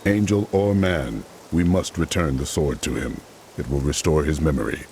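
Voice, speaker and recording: An actor playing a stoic wandering hero who speaks calmly, tersely and with determination. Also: male voice, very deep voice, moderate pace, a little hiss or hum. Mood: resolute.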